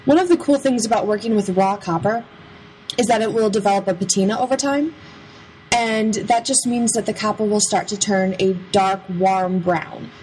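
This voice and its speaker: A young adult woman narrates a craft process in a casual, chatty way. Her voice sits at an average pitch for a woman and has a playful, informal delivery. Clear ambient noise runs behind her. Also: normal speed, a little mellow, low-quality recording. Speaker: craft vlogger.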